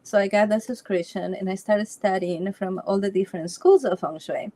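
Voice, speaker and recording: Woman from Ecuador, interview guest, chatty storytelling, mid-pitched voice. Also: steady tone, moderate pace, warm tone, no room sound. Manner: storytelling with frequent restarts and light laughs. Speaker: Feng Shui consultant, interview guest. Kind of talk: casual interview chat.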